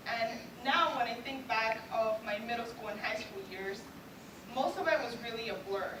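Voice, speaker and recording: A woman's voice: on the high side, moderate pace. A woman in her twenties from Cuba, whose first language is Spanish, gives an earnest award speech in English with a Cuban Spanish accent. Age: 20s. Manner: earnest, with light self-deprecating laughs. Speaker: student award speaker. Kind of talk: prepared acceptance speech. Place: large event hall. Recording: echoey hall recorded from far off, noisy.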